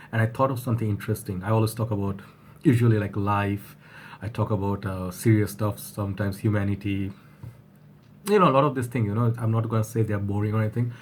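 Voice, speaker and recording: A man with a flu-husky voice, talking casually to his viewers and often rambling and restarting. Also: fairly low pitch, darkish tone, a little hiss or hum. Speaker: fragrance reviewer. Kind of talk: casual vlog talk to camera.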